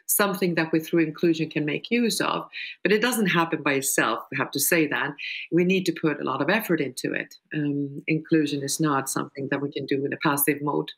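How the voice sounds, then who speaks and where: An older woman speaking on a video panel in a measured, halting, explanatory way. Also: sits low. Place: small furnished room indoors. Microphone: video call.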